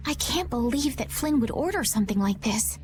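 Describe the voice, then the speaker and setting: Woman as an earnest, high-voiced young heroine. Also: animated, brisk, a little mellow, close to an everyday voice. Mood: earnest, concerned. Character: earnest, polite young heroine, worried and caring. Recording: music under the voice.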